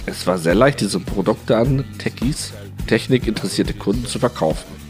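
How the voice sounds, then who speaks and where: A man with a fairly low voice reads a translated interview as a voice-over. His delivery is scripted, and faint music plays behind him. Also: normal speed, a little mellow.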